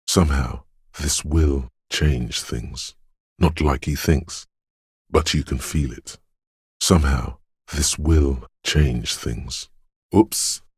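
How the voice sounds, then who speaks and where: Video game inner voice of intuition: extremely low, husky and gravelly, brooding, dreamlike and ominous. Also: male voice, dry recording, clean studio sound, heavily acted. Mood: musing, uncanny. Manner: slow, hushed, ominous, dreamlike, deliberate. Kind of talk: scripted video game narration in second person.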